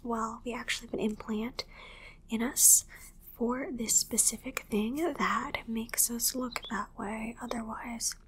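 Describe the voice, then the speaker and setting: A woman whispering an ASMR roleplay as a calm, reassuring alien medical examiner, repeating short reassurances in a hushed, soothing way. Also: on the high side, a little slow, slight background noise, poor audio.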